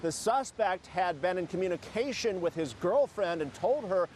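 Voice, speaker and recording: A man reports the news from the field in a slightly high-pitched voice. His delivery is that of scripted television journalism. Faint traffic noise sits behind him on a city street. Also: animated, normal speed, a little mellow.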